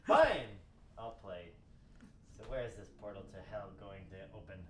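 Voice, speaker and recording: A man's voice: on the low side, very animated, moderate pace, voice pushed down. A man playing a sardonic, exasperated, skeptical scientist in an amateur radio play table read. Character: exasperated, skeptical, foul-mouthed male robotics scientist. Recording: roomy, distant mic with clear noise.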